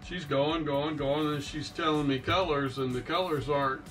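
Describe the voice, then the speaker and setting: A man's voice: fairly high pitch, steady tone, moderate pace, fairly bright tone, far from the mic, soft background music, low-quality recording. A middle-aged man casually recounting a sailing trip as a vlog host, recorded in a small boat cabin. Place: small wood-panelled sailboat cabin.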